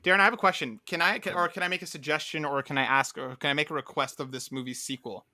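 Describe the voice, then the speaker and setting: A man's voice: on the high side, steady tone, quick pace, sharp tone, dry recording, studio quality. A young man co-hosting a podcast, playful and full of questions in casual chat. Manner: playful, keeps asking questions. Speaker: podcast co-host.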